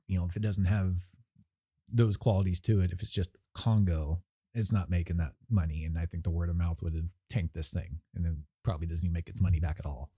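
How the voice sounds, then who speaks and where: A man's voice: on the low side, warm tone, husky, no room sound, clean studio sound. A man co-hosting a podcast, giving blunt, opinionated movie reviews in casual chat. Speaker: podcast co-host.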